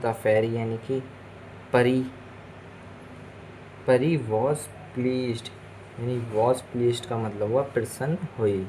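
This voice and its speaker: A man's voice: mid-range voice, fairly even intonation, very slow, long pauses, mellow, a little hiss or hum, low-quality recording. A man with an Indian accent, a native Hindi speaker, teaching English in a bilingual lesson, explaining word by word and repeating phrases.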